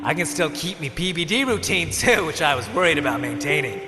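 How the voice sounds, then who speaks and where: An actor playing a cocky, easygoing young party-bro incubus in a video game, talking in a slangy dude-bro drawl. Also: male voice, a little high, expressive, fairly quick, lightly acted. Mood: boastful. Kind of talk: video game character acting. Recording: long reverb under loud music.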